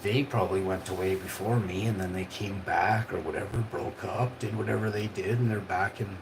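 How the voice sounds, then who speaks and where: A man's voice: sits low, steady tone, brisk, reverberant, noisy background. A man, telling a rambling personal story on a livestream in a casual, chatty way. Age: 40s. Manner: casual and chatty, with frequent tag questions and restarts.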